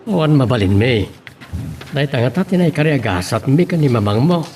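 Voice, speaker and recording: A man playing a steady, caring middle-aged village father in a radio drama, with a Filipino accent. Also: mid-range voice, a little fast, faint music behind, close to an everyday voice. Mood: reassuring. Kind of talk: Ilocano radio drama acting. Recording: faint background noise.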